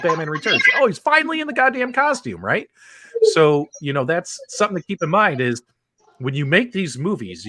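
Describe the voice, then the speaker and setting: A man's voice: fairly high pitch, a bit bright, no echo. Rambling man hosting a live stream. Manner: loose, rambling, asks the others questions. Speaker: podcast host. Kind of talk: casual live-stream chat.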